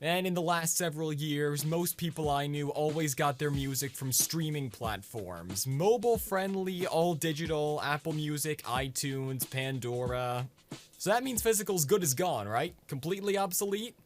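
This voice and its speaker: A man's voice: on the high side, expressive, normal speed. A man hosting a scripted explainer vlog in a conversational, punchy way with casual asides. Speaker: video host.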